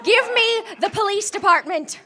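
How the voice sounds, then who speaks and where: An actress playing a frantic, self-pitying, demanding invalid wife in a melodramatic radio play, with a stammering, imperious way of talking. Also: female voice, extremely high pitch, lively intonation, normal speed, sharp tone, heavily acted. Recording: live stage recording.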